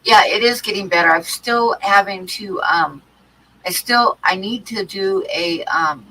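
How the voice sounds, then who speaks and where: A woman's voice: fairly low pitch, pushed and bright, a little hiss or hum, poor audio. A woman with a stuffy cold hosting an art livestream, chatting casually with many ums and restarts. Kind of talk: casual chat to live chat.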